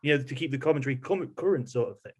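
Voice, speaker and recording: A man's voice: mid-range voice, rapid-fire, husky. A man hosting a podcast, putting casual questions and comments to his guest in conversation. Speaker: podcast host, interviewer.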